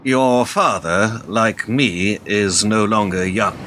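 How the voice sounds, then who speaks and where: An actor in a radio drama playing an ageing, smooth-talking political fixer, cynical, measured and self-assured. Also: male voice, on the low side, background noise. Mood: grave, persuasive.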